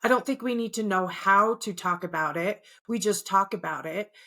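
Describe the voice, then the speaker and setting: A woman co-hosting a casual podcast, her voice bright and cutting. She builds her points through repeated questions and lists. She swears bluntly and speaks with heated conviction. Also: mid-range voice, moderate pace. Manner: impassioned, ranting, with blunt swearing. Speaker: podcast co-host.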